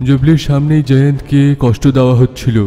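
Guy doing a grieving ghost dad, really dark muffled tone, big reverb, music under it, slow sad story. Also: mid-range voice, level tone, close to an everyday voice. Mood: sorrowful. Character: ghost of a grieving sculptor father. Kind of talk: dramatic Bengali horror-tale narration. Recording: long reverb, music behind.

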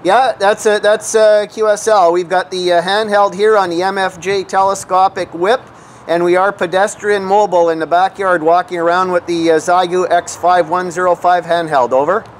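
A man's voice: sits high, fairly even intonation, moderate pace. A man, talking casually outdoors as a radio hobbyist and vlogger. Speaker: vlogger and amateur radio operator. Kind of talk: casual outdoor vlog and radio contact talk. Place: outdoors in a grassy backyard. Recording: outdoor camera audio with clear background noise.

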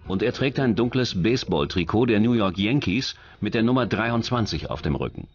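Low-voiced male true-crime narrator. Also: expressive, normal speed, muffled recording, faint music behind. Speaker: documentary narrator.

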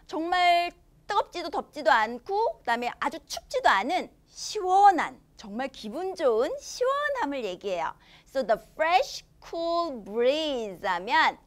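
A young woman teaching a children's language lesson, expressive and enunciating slowly for young learners. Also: high voice. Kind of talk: children's language lesson, switching between Korean and English.

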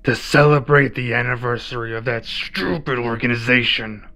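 A man playing a cold, menacing villain overlord in an audio roleplay, speaking imperiously and deliberately. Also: medium pitch, sharp tone. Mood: commanding. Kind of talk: roleplay ASMR villain acting. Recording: music behind the voice.

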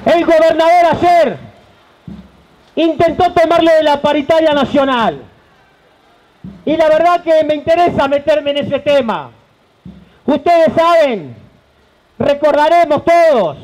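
A man's voice: very high-pitched, rough recording. A man in his forties with an Argentine accent, a union leader giving an impassioned protest speech outdoors into a handheld mic. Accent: Argentine Spanish. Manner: impassioned, shouting at times, gesturing. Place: outdoor stage under a tent at a rally.

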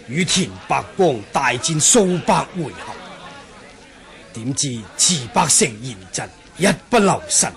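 An actor with a Hong Kong Cantonese accent playing a courteous, formal senior martial arts master in a radio drama. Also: male voice, fairly high pitch, full character voice. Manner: formal, diplomatic. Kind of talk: 1980s radio drama acting.

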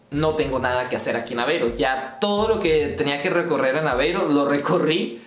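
A man's voice: fairly high pitch, reverberant. A young man in his twenties with a Caracas Venezuelan accent, vlogging casually to camera in his bedroom, rambling and restarting his sentences. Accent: Venezuelan Spanish, Caracas. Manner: rambling, restarts often, gestures while talking. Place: bedroom indoors.

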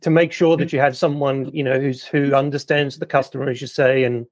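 A man's voice: medium pitch, steady tone, a little fast, no echo. A man hosting a podcast, introducing guests and interviewing them conversationally. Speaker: podcast host.

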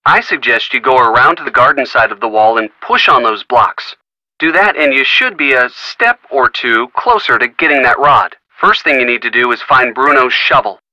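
A breezy, bright hint-giver. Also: male voice, mid-range voice, dry recording, dull audio. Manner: breezy, instructive, chummy. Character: friendly male hint-line helper, upbeat. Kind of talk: studio video game voice acting.

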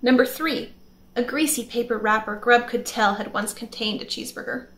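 A woman's voice: fairly high pitch, moderate pace, fairly bright tone, close to an everyday voice. A woman voicing a hungry, hopeful male gardener who speaks plainly and wistfully in a storybook reading. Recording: echoey room with faint music.

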